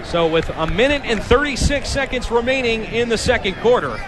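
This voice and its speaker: A man's voice: very high voice, animated, normal speed, a crowd in the background, noisy background. An American man doing live play-by-play sports commentary on the radio.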